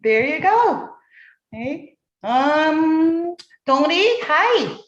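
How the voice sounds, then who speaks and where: Woman host of an online youth panel, encouraging, unhurried, roomy video-call sound. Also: sits high, sing-song, highs cut off. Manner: encouraging, chatty, drawn-out delivery. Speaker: moderator. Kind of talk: online forum moderation.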